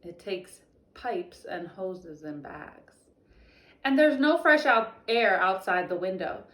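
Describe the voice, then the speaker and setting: A woman's voice: medium pitch, slow. A young woman teacher reading a picture book aloud to children, stopping for chatty explanations. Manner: expressive storybook reading broken up by chatty explanations. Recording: echoey room.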